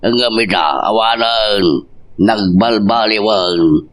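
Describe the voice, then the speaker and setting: A man's voice: on the low side, slow, a bit bright. A man playing an aging, judgmental father-in-law in a family audio drama, with a grumbling, emphatic delivery. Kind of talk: Ilocano radio-style family drama acting.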